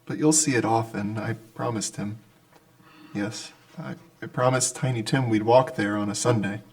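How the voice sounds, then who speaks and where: An actor playing a meek, grieving father and loving husband, tender and halting, in an old-time radio drama. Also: fairly low pitch, rough recording. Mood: gentle sorrow.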